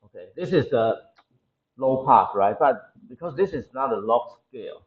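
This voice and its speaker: Halting male lecturer with a Taiwanese accent. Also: mid-range voice, animated, narrow, tinny audio. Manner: halting, repeats phrases as he explains. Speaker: university lecturer. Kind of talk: classroom engineering lecture. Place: indoor classroom.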